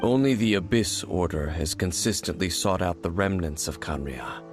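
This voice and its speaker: A man voicing a grave, aloof and mysterious warrior in a video game, speaking in a measured, formal, solemn manner. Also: low-pitched, close to an everyday voice. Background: game music.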